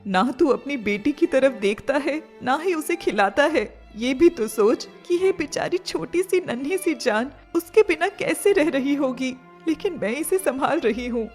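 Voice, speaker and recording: A woman's voice: sits high, lively intonation, measured pace, hardly any pauses, soft background music. A woman voicing a caring, worried elderly mother who pleads and coaxes emotionally in a dramatised story. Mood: worried pleading. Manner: emotional, coaxing. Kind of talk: dramatised Hindi moral story acting.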